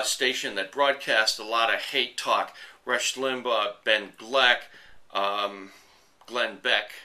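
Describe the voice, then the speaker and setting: A man's voice: medium pitch, bright, forceful tone. A middle-aged American man hosting an online commentary show, delivering an indignant, blunt, off-the-cuff rant to viewers.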